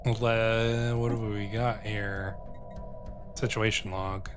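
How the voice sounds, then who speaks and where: A man's voice: on the low side, level tone, slow. A man giving casual gameplay commentary, thinking aloud tentatively and sometimes reading game text out. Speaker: video game streamer and host. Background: game music.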